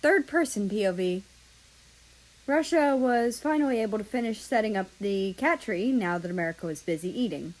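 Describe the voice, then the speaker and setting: Woman narrating a story, mid-pitched voice. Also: expressive, normal speed, rough recording. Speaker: fan fiction reader.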